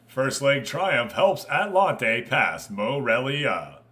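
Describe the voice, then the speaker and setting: A man with a bright, forceful voice streams a football management game. He gives live play-by-play and drops into casual asides to viewers. His delivery is excitable and exclamatory, with a mid-range pitch. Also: takes it slowly.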